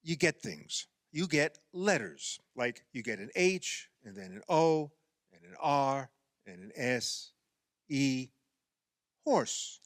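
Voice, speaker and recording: A man's voice: mid-range voice, animated, takes it slowly, no room sound, studio quality. An actor in a radio play playing an exasperated man who coaxes and explains insistently, often stammering. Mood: patient explaining. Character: exasperated man coaxing a woman through a playground basketball game.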